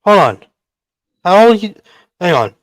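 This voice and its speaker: Excitable male podcast host, joking banter, animated swinging pitch, dry close sound. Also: a little slow, dry recording. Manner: excitable, joking, swears freely. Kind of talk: casual profane podcast banter.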